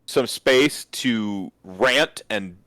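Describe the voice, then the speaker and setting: A man chatting casually on a hobby stream, animated and swearing freely as he acts out what other people say. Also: on the low side, drawn-out words, dry recording.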